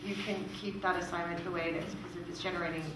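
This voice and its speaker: A woman's voice: fairly low pitch, level tone, fast talker. A woman giving conversational explanations as a presenter taking questions. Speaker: music royalty organisation manager presenting. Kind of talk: informal question and answer at a presentation. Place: meeting room in front of an audience. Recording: echoey room, distant mic, clear background noise.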